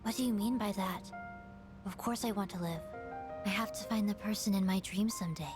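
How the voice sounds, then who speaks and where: A woman's voice: medium pitch, brisk, lightly acted. An actress playing an aloof, prickly yet dreamy teenage girl in a video game drama, blunt and guarded. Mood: indignant, determined. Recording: music over the voice.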